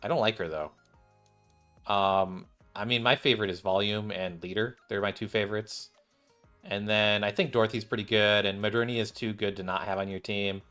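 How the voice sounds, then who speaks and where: A man's voice: on the low side, steady tone, moderate pace, bright, forceful tone, clear, resonant tone, soft background music. A man giving casual, off-the-cuff commentary on a game, rambling and thinking aloud as he plays. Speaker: gaming video creator.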